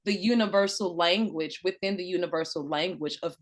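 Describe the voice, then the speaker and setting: A woman's voice: on the low side, a little fast. A woman radio host interviewing a guest, enthusiastic and playful, laughing often. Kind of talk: casual interview hosting.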